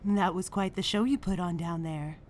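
A woman's voice: lowish voice, steady tone, normal speed, darkish tone, a little hiss or hum, lightly acted. A woman playing a confident, challenging mentor in a superhero comic dub, with music underneath. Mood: cool, assured. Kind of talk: acted comic dub dialogue.

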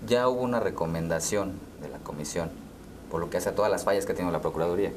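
A man's voice: deep voice, rapid-fire. A man in his thirties with a Mexican accent, interviewing conversationally in long questions peppered with tag questions. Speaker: interviewer, co-host. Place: dark TV studio, round table. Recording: clear background room noise.

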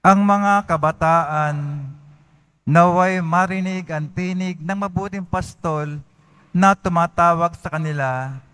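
Lector, man, fairly high clear voice, formal steady reading. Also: slow pace. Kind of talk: reading prayer intentions at mass.

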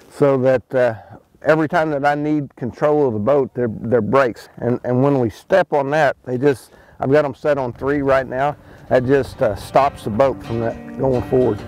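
Middle-aged male angler, outdoors on a lake boat, relaxed instructional talk with frequent fillers. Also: mid-range voice, rough recording. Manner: relaxed, explains as he fishes, many ums and restarts. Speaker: fishing pro. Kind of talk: casual how-to fishing talk.

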